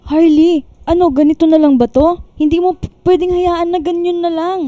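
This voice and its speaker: A woman's voice: extremely high pitch, flat delivery, moderate pace, dark tone, no echo. A woman playing a loyal, outspoken coworker in a radio drama, talking informally and urgently to a friend. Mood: indignant, urging. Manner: urging, indignant, informal.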